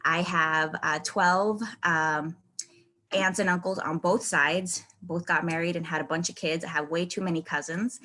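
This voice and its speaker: A woman's voice: lowish voice, steady tone, measured pace, bright, forceful tone, slight background noise. A woman from California giving her first personal speech at an online club, friendly and candid with frequent ums. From Oceanside, California. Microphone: video call.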